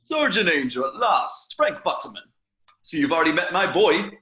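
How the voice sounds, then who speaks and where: A man playing a jovial, fatherly senior village police chief in a table read, gently admonishing in a measured manner. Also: on the high side, big pitch swings. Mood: jovial greeting. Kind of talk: amateur screenplay table read. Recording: roomy home microphone sound.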